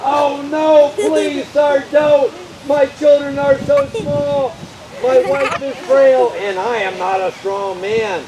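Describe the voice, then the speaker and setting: Very high, melodramatic puppet debtor. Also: male voice, fairly even intonation, takes it slowly, heavily acted, rough recording. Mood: pleading. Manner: melodramatic, exclaiming. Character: whining, two-faced debtor puppet, a poor man. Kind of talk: children's puppet show acting. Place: outdoors at an open-air show. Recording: outdoor live recording with crowd noise.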